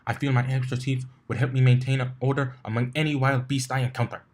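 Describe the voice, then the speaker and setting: A man's voice: mid-range voice, flat delivery, fast talker, a little mellow, lightly acted. A man voicing a stern, stiff female soldier pony with a clipped, emotionless, formal delivery.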